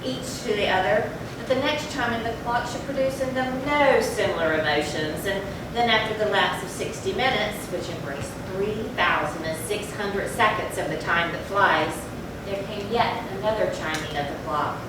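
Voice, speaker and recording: A woman's voice: mid-range voice, normal speed, few pauses. A woman giving a dramatic readers theatre performance of a gothic tale on stage. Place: large echoey room, live stage performance. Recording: echoey hall from far off, noisy.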